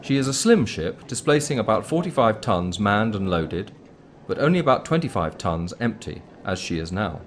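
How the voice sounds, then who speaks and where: A man narrates a documentary in a fairly low voice with lively, varied intonation. His delivery is measured, formal and explanatory, read from a script. There is clear background noise on the recording.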